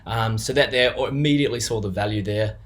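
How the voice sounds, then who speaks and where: A man's voice: on the low side, brisk. Hesitant New Zealand man giving a testimonial. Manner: hesitant, many fillers and restarts. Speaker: business co-owner giving a client testimonial. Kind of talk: unscripted testimonial.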